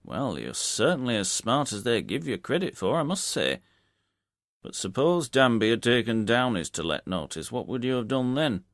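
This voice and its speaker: Brash British gambling publican performed by a male reader. Raised, emphatic, creaky, colloquial, wily storybook rogue. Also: mid-range voice, big pitch swings, moderate pace, a bit bright, dry recording, studio quality, pitched above the performer's own voice. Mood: grudging admiration. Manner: colloquial, dropped aitches, hearty.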